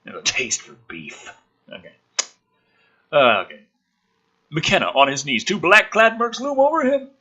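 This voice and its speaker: A man reading a film script dramatically and breaking off for sarcastic, profane commentary, with a rough-edged voice. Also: a little high, huge pitch swings, measured pace, pauses often, bright, forceful tone, muffled recording.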